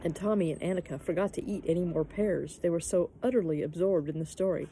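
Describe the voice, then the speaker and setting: A woman's voice: fairly low pitch, quick pace, warm tone, a little hiss or hum, low-quality recording. A woman narrating a children's book aloud as a teacher would for young listeners. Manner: explains words to young listeners. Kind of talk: children's storybook read-aloud.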